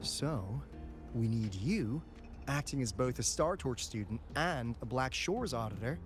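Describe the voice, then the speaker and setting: An actor playing a wry, casual academy insider with a teasing, expressive manner in a video game, with music behind him. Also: male voice, mid-range voice, measured pace, lightly acted. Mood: wry, candid. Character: breezy, wry adult male academy insider briefing an auditor.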